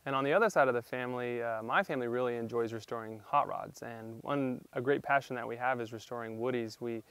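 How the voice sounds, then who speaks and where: A man's voice: medium pitch, quick pace, fairly bright tone, smooth, dry recording. A young man from California with a Californian American accent, talking casually and easily in an interview about his own life and work. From Sonoma County, California, US. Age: young adult. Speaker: winery viticulturist. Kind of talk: casual on-camera interview for a promotional profile. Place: outdoor garden patio beside a building. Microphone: lapel mic.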